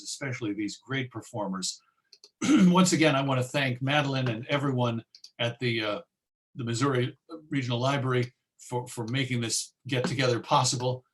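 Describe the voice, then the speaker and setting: A man presenting an informal online talk and answering questions in a hesitant, musing way, often pausing mid-phrase. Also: medium pitch. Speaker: presenter and old-time radio collector.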